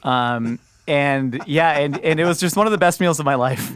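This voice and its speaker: A man in his thirties hosting a podcast, telling stories in a lively way with frequent laughs. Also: on the high side, very noisy. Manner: animated storyteller, laughs often, drawn-out words. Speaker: podcast host. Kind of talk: casual chat.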